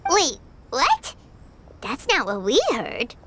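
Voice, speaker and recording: A woman's voice: high voice, big pitch swings, slow pace, sharp tone, husky, heavily acted. A woman voicing a small, chirpy, inquisitive childlike fairy companion in an anime-style video game. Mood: surprised curiosity.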